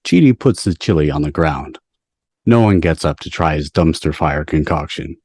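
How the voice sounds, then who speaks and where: A man's voice: rumbling low, expressive, normal speed, darkish tone. A man reading scripted stage directions at a table read, recorded over a video call. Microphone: video call microphone.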